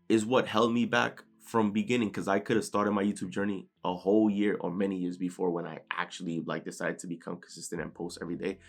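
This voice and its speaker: A young man with a New York accent giving an unscripted motivational talk from his bedroom, casual, often tagging "right?" and sometimes stuttering. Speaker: motivational YouTuber. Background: low background music.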